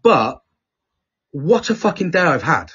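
A middle-aged man with a husky, fairly high voice tells a story to a podcast co-host. His talk is loose and sweary, and he slips into voices for the people he quotes. The recording sounds dull and lo-fi. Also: big pitch swings, measured pace, fairly bright tone. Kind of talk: casual storytelling chat.

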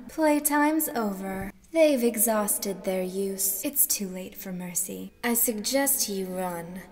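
A young woman in her twenties doing quick impressions of many characters in a cluttered bedroom, switching between whispery, husky and squeaky voices. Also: darkish tone, in a large hall. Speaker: gaming video creator. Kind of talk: rapid-fire character impressions.

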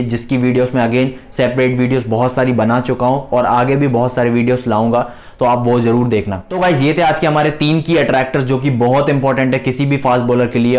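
A man's voice: mid-range voice, darkish tone. Earnest young man explaining to camera. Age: 20s. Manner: earnest, explanatory, repeats key points. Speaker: fast bowling coach. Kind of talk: instructional video talking to camera. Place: small indoor room. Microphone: lapel mic.

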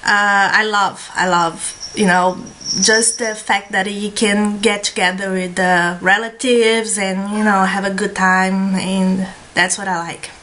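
A woman's voice: mid-range voice, little pitch movement, slow, bright, forceful tone. A woman in her thirties with a Brazilian Portuguese accent, chatting casually to a webcam at home with many fillers. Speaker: beauty vlogger. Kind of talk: casual chat. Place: small room at home, indoors. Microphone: webcam at desk.

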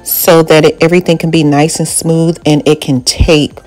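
Woman, low-pitched, home DIY vlogger, step-by-step voice-over, faint music behind. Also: level tone, moderate pace, a little mellow, poor audio. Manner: step-by-step explanatory, with filler ums. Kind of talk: voice-over narration of a DIY project.

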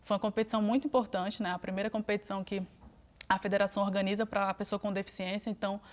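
A woman's voice: mid-range voice, very fast. Brazilian woman swimmer in a studio interview. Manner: relaxed and reflective, frequent fillers. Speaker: interview guest, Paralympic swimmer.

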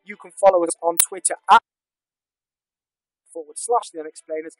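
A man's voice: a little high, moderate pace, fairly bright tone, soft background music, low-quality recording. A man podcast host reading a jokey, mock-dramatic promotional announcement through a robotic vocoder effect. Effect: vocoder, synthetic robotic filter.

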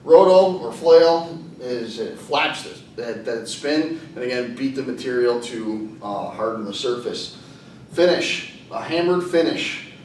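A man's voice: animated, a little slow, darkish tone. A plain-spoken man in his thirties explaining things as an instructor in an informal whiteboard lesson. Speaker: welding instructor and video host. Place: hard-walled indoor classroom with whiteboard. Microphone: far camera. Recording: echoey room, distant camera microphone, faint rumble.

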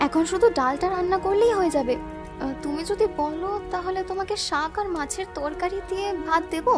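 A woman's voice: very high-pitched, brisk, over music. A woman voicing dutiful female figures in a Bengali family cartoon. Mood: apologetic.

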